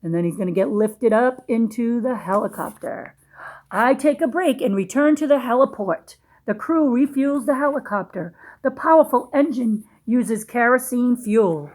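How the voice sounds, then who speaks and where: A woman reading a storybook aloud to young children, pausing in a teacherly way to ask questions and explain things simply. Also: a little high, animated, a little mellow.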